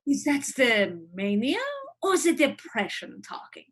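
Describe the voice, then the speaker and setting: A woman's voice: fairly high pitch, big pitch swings, a little slow, slightly rough, heavily acted. A woman playing a gloating, cackling villainous hospital doctor in a script reading.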